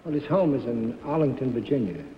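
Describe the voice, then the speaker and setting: A man's voice: medium pitch, animated, brisk, highs cut off. A man answering questions as a game show contestant on old television. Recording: distant, very noisy, echoey 1950s TV recording.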